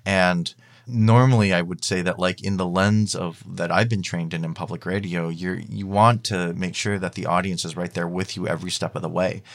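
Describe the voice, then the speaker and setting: Male podcast guest, very low voice, informal and conversational, voices imagined quotes. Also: fairly even intonation, moderate pace. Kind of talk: casual interview chat.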